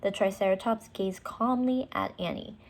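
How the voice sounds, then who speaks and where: A woman's voice: medium pitch, normal speed. A woman storyteller narrating a children's book, with short explanatory asides for young listeners.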